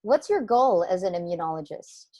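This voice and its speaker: A woman's voice: fairly low pitch, big pitch swings, normal speed, highs cut off. A woman hosting a casual interview, chatty and admiring toward her guest. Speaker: interview host.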